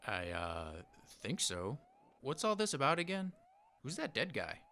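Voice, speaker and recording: A man's voice: medium pitch, huge pitch swings, measured pace, sharp tone, full character voice. A man playing a reluctant, bewildered volunteer, hesitant and nervous, in a comedic radio play. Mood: nervous, confused. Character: reluctant, bewildered male volunteer facing sacrifice.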